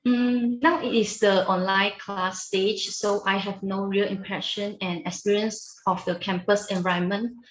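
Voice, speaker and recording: A woman's voice: mid-range voice, steady tone. Young woman in an echoey room. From Malaysia. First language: Chinese. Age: young adult. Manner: careful, with many hums and pauses. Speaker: interviewee, university student. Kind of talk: answering interview questions.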